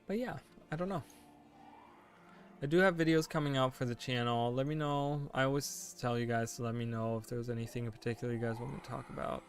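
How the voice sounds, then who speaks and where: A man's voice: mid-range voice, a little fast, soft background music. A man in his late twenties chatting casually while streaming a game, rambling and thinking aloud, full of "like" and "you know". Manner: thinking aloud, self-correcting. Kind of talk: casual chat while gaming.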